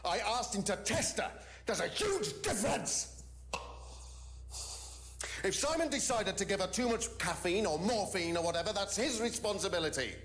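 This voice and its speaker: An actor playing an evasive course leader in a mystery game, hedging and getting testy under police questioning. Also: male voice, high voice, expressive, normal speed, fairly bright tone, slight background noise. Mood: irritated. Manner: defensive, hedging. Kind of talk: live-action game drama dialogue.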